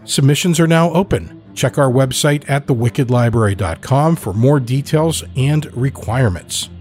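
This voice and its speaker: A man's voice: on the high side, a little mellow. A man reading scripted narration as a storyteller and podcast host.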